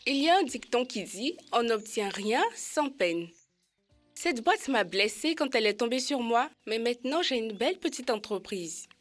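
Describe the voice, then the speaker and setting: Young elephant go-getter for kids' cartoon; high, keen, youthful. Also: female voice, expressive, normal speed, faint music behind. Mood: proud. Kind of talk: French-dubbed educational children's cartoon.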